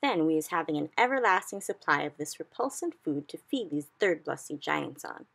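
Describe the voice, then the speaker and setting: A woman's voice: low-pitched, expressive, normal speed, vocal fry, fairly bright tone, husky, full character voice. A woman voicing a big kindly male giant who talks in cheerful, mangled grammar. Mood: pleased. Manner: folksy, ungrammatical, exclamatory.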